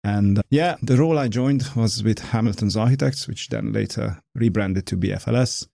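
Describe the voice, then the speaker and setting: A man in his forties from Hungary with a Hungarian accent, an architect talking through his work as a podcast guest in a measured, explanatory way. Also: fairly low pitch, gravelly, mellow, dry recording, studio quality. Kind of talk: casual conversation.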